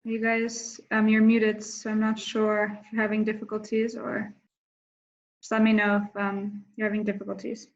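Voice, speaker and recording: Casting: female online workshop host, informal and hesitant, middle pitch, muffled lo-fi call sound. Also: completely flat delivery, normal speed. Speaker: online workshop host managing breakout rooms. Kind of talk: casual facilitation chat on a video call. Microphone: video call. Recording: dull lo-fi video call audio.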